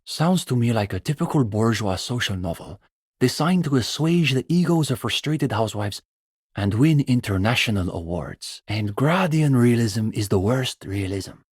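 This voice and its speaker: An actor playing an earnest, pretentious young communist student intellectual with a bookish, opinionated way of talking, in a video game. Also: male voice, medium pitch, lively intonation, normal speed, a little mellow, breathy edge, no echo, clean studio sound. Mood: scornful. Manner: bookish, opinionated, academic phrasing. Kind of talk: video game character dialogue.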